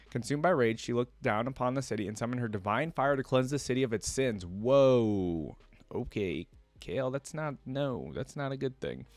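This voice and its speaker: Guy doing a reaction vid, reads lore out loud n chats about it, normal pitch, pretty dry sound. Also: no echo. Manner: informal, thinks aloud while commenting. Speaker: reaction video host. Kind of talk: casual reaction commentary mixed with reading lore aloud.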